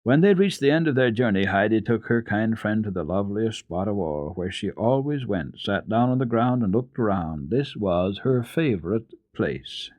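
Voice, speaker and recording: A man giving a measured, dramatic reading of a children's story as a radio storyteller. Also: fairly low pitch, no echo, muffled recording. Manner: expressive, measured storytelling delivery. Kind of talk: dramatic scripted narration of a children's book.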